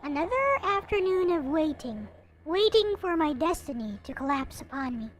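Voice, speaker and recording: A woman's voice: sits high, very animated, normal speed, low-quality recording. A woman voicing a bitter, hopeless young narrator in a visual novel, reading in a melancholy, theatrical way. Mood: gloomy, brooding. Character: gloomy, bitter, hopeless young person going blind, self-pitying narrator.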